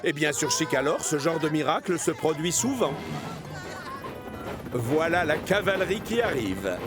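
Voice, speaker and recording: A man's voice: fairly high pitch, sing-song, a little slow, over music. A man narrating a scripted children's cartoon story.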